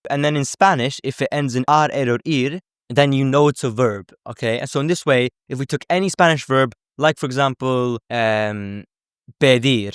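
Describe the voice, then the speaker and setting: Male language teacher, mid-pitched, informal explainer, conversational with frequent check-ins, very dry recording. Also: moderate pace, clear, resonant tone, no echo. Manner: conversational, often checks in with okay and right.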